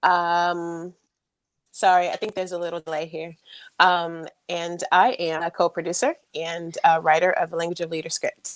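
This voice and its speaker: A woman's voice: fairly low pitch, level tone, moderate pace, dry recording. A woman talking casually as an interview guest. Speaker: guest, writer and co-producer.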